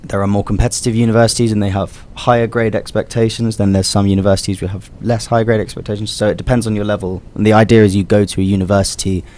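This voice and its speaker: A young man from the United Kingdom, a radio guest telling a story in an animated way and explaining patiently. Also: fairly low pitch, fast, hardly any pauses, a little hiss or hum. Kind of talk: casual storytelling.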